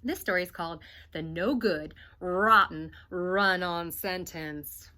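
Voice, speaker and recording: A young adult woman, a teacher, reading a picture book aloud to children in an expressive teacher voice. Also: fairly low pitch, slow.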